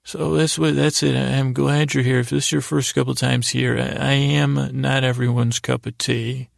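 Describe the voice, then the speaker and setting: A man hosting a bedtime podcast in a gravelly voice, meandering and halting as he rambles in a lighthearted, whimsical way. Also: medium pitch, level tone, dry recording, studio quality. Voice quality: creaky, gravelly. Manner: meandering, hesitant, halting, trailing off. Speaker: podcast host. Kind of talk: rambling bedtime monologue to help listeners sleep.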